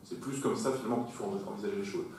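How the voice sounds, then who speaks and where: Echoey, low-pitched man chairing a meeting. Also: rapid-fire, darkish tone. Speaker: chair of a local council meeting. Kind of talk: formal meeting remarks, explaining. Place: large echoey meeting room. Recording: very echoey hall, distant mic, faint noise.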